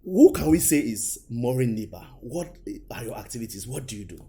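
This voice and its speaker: A man's voice: medium pitch, lively intonation, brisk, mellow, a little hiss or hum. A man hosting a studio interview show, talking emphatically with repeated phrases and "you know" asides. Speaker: talk show host and interviewer. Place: indoor studio.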